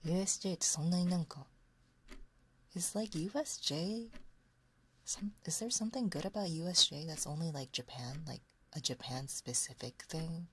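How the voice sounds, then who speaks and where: A woman hosting an ASMR livestream, chatting casually in a soft, hushed voice that often slips into whispers, close to a binaural microphone. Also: low voice, brisk, breathy edge. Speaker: livestream host. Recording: close binaural capture with faint noise. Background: faint noise, soft clicks of a ticking clock.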